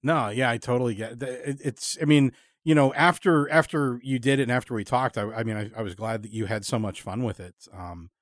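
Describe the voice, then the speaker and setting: A man with a mid-pitched voice hosts a relaxed, conversational interview. He talks earnestly and ramblingly, often halting as he works toward his point. The recording is close and dry. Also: no room sound. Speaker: podcast host and interviewer. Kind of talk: casual interview chat.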